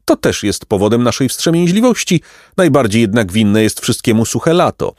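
A man voicing a vain, pompous, aristocratic pelican with a shrill squawking voice for a storybook. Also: big pitch swings, moderate pace, darkish tone, dry recording, studio quality, natural voice. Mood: pompous lament. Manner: pompous, haughty, florid exclamations. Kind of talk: storybook character voice.

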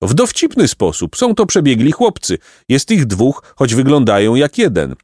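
A man's voice: mid-range voice, very animated, moderate pace, a little mellow, no echo, clean studio sound, voice pushed up. A man voicing an amused learned man in a storybook, wry and explanatory.

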